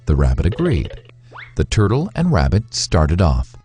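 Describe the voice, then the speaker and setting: Low-voiced male storyteller with swooping intonation. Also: wildly swooping pitch, unhurried, over music. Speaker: storyteller, narrator. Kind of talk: scripted narration of a moral fable.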